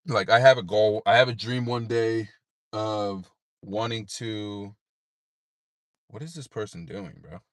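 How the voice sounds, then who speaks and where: A tired man with a deep voice, rambling in a laid-back way with many fillers while livestreaming from his parked car at night. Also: unhurried, long pauses, dry recording, studio quality. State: tired, unable to sleep. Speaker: streamer. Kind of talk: late-night livestream chat.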